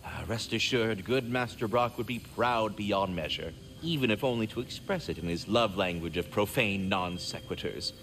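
A man's voice: fairly low pitch, moderate pace, hardly any pauses, fairly bright tone, a little hiss or hum. A man voicing a courteous, fretful, erudite magical squirrel in a video game, speaking in formal, wordy, theatrical phrases. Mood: warm, grateful. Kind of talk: video game character acting.

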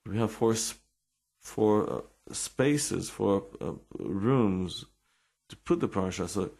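Slow, gravelly Israeli man. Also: lowish voice, lively intonation, a little mellow. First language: Hebrew. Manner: halting, many restarts and fillers. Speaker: rabbi telling his life story. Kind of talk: personal storytelling and explanation.

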